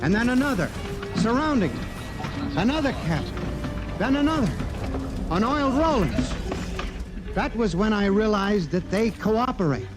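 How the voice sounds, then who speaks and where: An old-time radio broadcast with music behind the voice. a haunted clerk, confessing in a fairly high voice with grave, theatrical intensity. He is desperate, every phrase weighted like 1950s suspense drama. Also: big pitch swings, normal speed, pauses often. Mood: ominous, awed. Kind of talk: 1950s radio drama acting, first-person narration.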